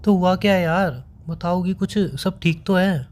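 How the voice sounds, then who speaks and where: A man's voice: high voice, a little slow, darkish tone, slight background noise. A young man with an Indian accent acting as a worried, devoted boyfriend in a phone-call drama, pleading and questioning insistently. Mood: exasperated.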